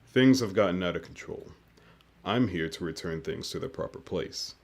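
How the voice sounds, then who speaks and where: An actor playing a cold, controlling leader of a covert team, formal and measured, in an audio drama. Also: male voice, sits low, lively intonation. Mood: cold, controlled.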